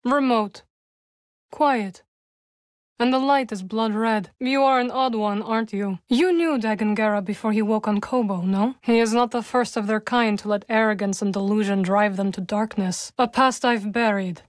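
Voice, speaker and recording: A woman playing a solemn, guarded young witch warrior in a video game, dryly wry, with measured, formal phrasing. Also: medium pitch, dry recording, clean studio sound, close to an everyday voice. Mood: dry, composed. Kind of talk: video game character dialogue.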